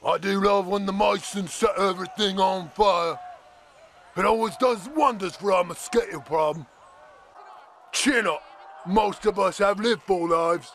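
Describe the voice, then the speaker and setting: A young British medieval peasant for a video game, with a high, bright, forceful voice. He is chirpy, timid and excessively polite, speaking slowly and theatrically. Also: slight background noise. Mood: cheerfully resigned. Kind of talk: video game character voice lines.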